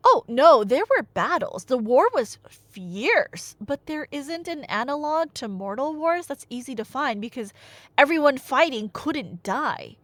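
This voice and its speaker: A young woman retelling a tale as a chatty, theatrical storyteller, with emphatic delivery, dry humor and dramatic pauses. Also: sits high, sing-song, dry recording. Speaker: audio storyteller playing a nerdy friend. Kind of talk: casual dramatic retelling of myth, as ASMR roleplay.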